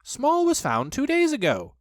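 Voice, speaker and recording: Storybook rabbit played by an adult narrator: officious, fussy, high voice with animated swoops. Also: a little slow, no echo, studio quality, full character voice. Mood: breezy. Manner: pompous, officious. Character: bossy, self-important rabbit, brisk and fussy.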